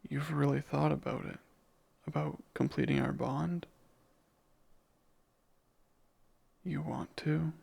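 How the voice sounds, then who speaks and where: A man plays a devoted, yearning demon bound to a master in an ASMR romance. The voice is fairly high for a male, husky and thick with gravelly creak. The words come slowly, courtly and intimate. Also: heavily acted. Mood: hesitant, intimate. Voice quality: husky, breathy with heavy creak. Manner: formal, courtly, murmuring.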